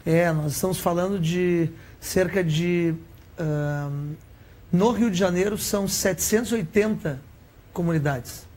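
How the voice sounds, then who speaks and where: A man's voice: high voice, measured pace, a little mellow. A man from southern Brazil, an author and academic guest on a TV interview, explaining earnestly with statistics. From Rio Grande do Sul, Brazil. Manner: earnest, explains with figures, some restarts.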